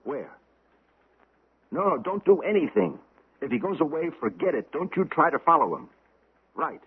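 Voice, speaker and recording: An actor playing a driven, excitable policeman with clipped, commanding, intense delivery in an old-time radio drama. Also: fairly high pitch, moderate pace, slightly rough, narrow, tinny audio, heavily acted. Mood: brisk, urgent. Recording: thin, faintly noisy old radio broadcast.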